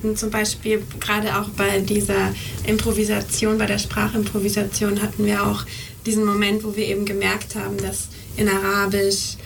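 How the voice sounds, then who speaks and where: A woman adds casual remarks, thinking aloud and stopping to search for a word. Her voice is gravelly and comes from some distance from the mic. Clear background noise sits behind her. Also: mid-range voice, monotone, moderate pace. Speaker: project member.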